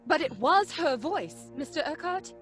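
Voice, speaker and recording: High-pitched, frightened young woman. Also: lively intonation, moderate pace, fairly bright tone, faint music behind. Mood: uneasy. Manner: gasping, anxious, pleading. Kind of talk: old-fashioned radio horror drama.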